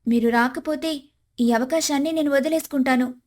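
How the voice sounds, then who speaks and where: A woman's voice: high voice, normal speed, a little mellow, natural voice. A woman playing a respectful, devoted young daughter-in-law in a moral story, speaking in a heartfelt way. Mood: earnest, pleading. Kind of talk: dramatised moral story dialogue.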